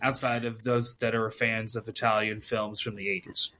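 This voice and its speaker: A man's voice: fairly low pitch, little pitch movement, normal speed, pushed and bright, slight background noise. A man co-hosting a film podcast, talking casually and laughing often, with plenty of fillers. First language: English. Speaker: podcast co-host. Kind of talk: casual chat about films.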